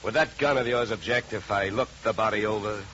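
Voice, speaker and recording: A man acting a cool private eye with a forceful, lowish voice and clipped, sardonic delivery. He questions and needles like a hardboiled 1950s radio sleuth. The old broadcast sound is muffled, with a light hiss. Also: brisk, lightly acted. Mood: wry, probing. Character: cool, shrewd, wisecracking male private detective. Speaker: lead actor in a detective radio serial. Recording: dull, hissy 1950 radio recording.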